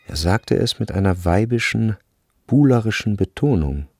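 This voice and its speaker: An actor as the grave narrator of a literary radio play, speaking in a measured way. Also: male voice, on the low side, animated, a little mellow, dry recording, clean studio sound.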